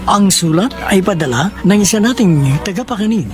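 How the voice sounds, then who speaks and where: A man hosting a radio drama with a scripted introduction in dramatic, deliberate phrasing. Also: high-pitched, lively intonation, over loud music.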